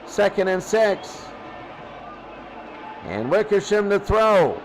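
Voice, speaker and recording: A man's voice: high voice, big pitch swings, slow, slight background noise, low-quality recording. A man with an American accent calling football play by play, exclaiming on big plays. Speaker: play-by-play commentator. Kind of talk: live American football commentary.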